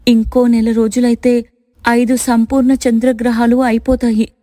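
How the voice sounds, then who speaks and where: Actress as reserved young wife in a storybook family tale: earnest, fairly high, mellow, dry sound. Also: female voice, little pitch movement, moderate pace, dry recording, natural voice. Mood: confessing.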